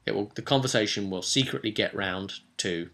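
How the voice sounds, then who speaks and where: A man telling a casual recap of a tabletop role-playing session as its game master, with animated asides and rhetorical questions. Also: on the low side, moderate pace, vocal fry.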